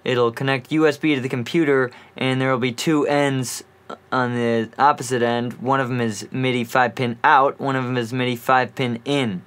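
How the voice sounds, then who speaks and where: A man's voice: medium pitch, little pitch movement, normal speed, fairly bright tone, clear, resonant tone. A man presenting an informal music gear tutorial, explaining in an offhand way as he thinks aloud.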